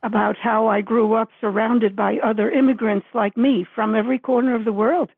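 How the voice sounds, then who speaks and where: An older woman from the Dominican Republic, a native Spanish speaker, telling stories thoughtfully with many pauses over the phone. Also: on the high side, fairly even intonation, slight background noise. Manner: thoughtful, measured, many uhs. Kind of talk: interview storytelling. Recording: gravelly phone line.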